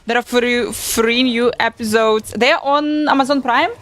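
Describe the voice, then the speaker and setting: A woman's voice: a little high, normal speed, fairly bright tone. A young woman from Moldova whose first language is Russian, chatting bluntly and swearing casually while she livestreams a game. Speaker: streamer. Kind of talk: casual live gaming stream chat.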